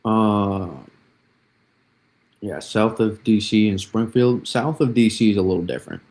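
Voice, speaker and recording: A man with vocal fry giving casual live weather commentary, often halting and restarting his words as he thinks. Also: lowish voice, steady tone, darkish tone. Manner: halting, repeats and restarts words mid-thought. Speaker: livestream weather analyst.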